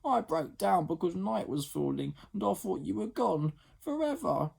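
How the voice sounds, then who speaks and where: A man's voice: high voice, expressive, moderate pace, gravelly, husky, pitched above the performer's own voice, exaggerated voice. Man doing a teary, apologetic older sister. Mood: tearful. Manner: soft, pleading, apologetic.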